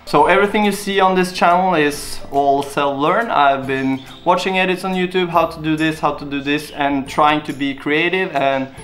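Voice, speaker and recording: A man's voice: fairly high pitch, fairly bright tone. A young man from Norway with a Norwegian accent, a YouTube vlogger answering viewer questions in a casual, earnest, rambling way. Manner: earnest, rambling, simple phrasing. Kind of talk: casual Q&A talk to camera.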